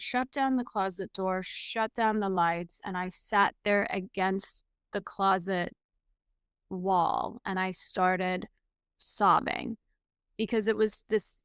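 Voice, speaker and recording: A woman in her forties, from Iran, speaks as an interview guest in an earnest, reflective way, pausing often with fillers. Her voice is very smooth and resonant. The recording is close and dry. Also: medium pitch, a bit bright, dry recording. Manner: earnest, many fillers and restarts.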